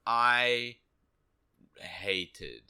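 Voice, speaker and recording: A man co-hosting a casual video game review podcast, giving blunt opinions in short, halting phrases. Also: mid-range voice, lively intonation, sharp tone. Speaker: podcast co-host.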